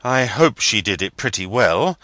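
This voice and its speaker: A man voicing a stiff, half-grumbling young gentleman fiancé. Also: on the low side, big pitch swings, no echo, voice pushed up, heavily acted. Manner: measured, slightly sulky delivery.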